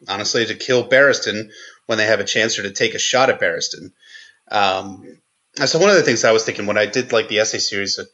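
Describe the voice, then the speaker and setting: Bright-voiced man explaining, slightly lo-fi. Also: medium pitch. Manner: explanatory, stumbles and restarts often. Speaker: guest commentator. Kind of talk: podcast analysis, unscripted discussion.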